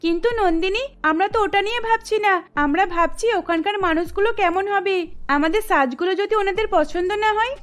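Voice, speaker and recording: A woman's voice: extremely high pitch, brisk. A woman voicing a sensible young wife who works as a makeup artist in a cartoon, speaking politely and evenly. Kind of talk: cartoon voice acting.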